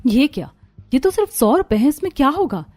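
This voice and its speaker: A woman's voice: high voice, big pitch swings, brisk, darkish tone, voice pushed up. A woman voicing a poor, concerned young housewife in a cartoon story, speaking earnestly. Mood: dismayed. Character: poor, worried young housewife and daughter-in-law.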